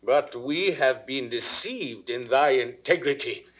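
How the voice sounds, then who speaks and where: A man's voice: mid-range voice, slow pace, fairly bright tone. An actor playing a jealous, suspicious king with a British accent, in theatrical classical Shakespearean style for an audio drama. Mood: brooding suspicion. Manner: theatrical, crisply declaimed, dropping into whispers. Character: jealous, suspicious king brooding over his wife's imagined betrayal. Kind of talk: classical Shakespearean stage acting, 1960s audio recording.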